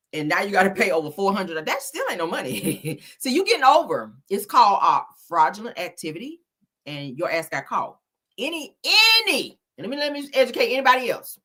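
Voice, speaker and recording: Lady doing reaction commentary, bright forceful voice, swoops all over, blunt and scolding, cusses a lot. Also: mid-range voice. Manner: blunt, scolding, heavy profanity; emphatic, repeats phrases for effect. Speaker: YouTuber commentating on celebrity and viral topics.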